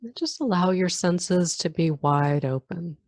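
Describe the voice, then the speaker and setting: Female meditation teacher, deep register, calm and slow with long pauses, dry sound. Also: no echo. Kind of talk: guided meditation.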